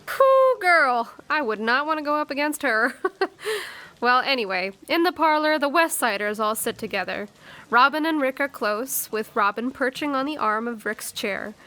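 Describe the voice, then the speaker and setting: A woman's voice: on the high side, animated, normal speed, bright, forceful tone. A woman playing a chatty, nosy narrator in an audio drama, telling the scene playfully and conversationally. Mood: amused, gossipy. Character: chatty, cheeky, nosy storyteller commenting on the action.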